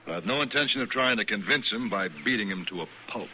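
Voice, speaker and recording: An actor playing a principled, bookish sheep rancher with an American accent, articulate and quietly defiant, in an old-time radio western. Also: male voice, on the low side. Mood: restrained, pointed. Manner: articulate, deliberate, earnest. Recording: noisy old radio recording.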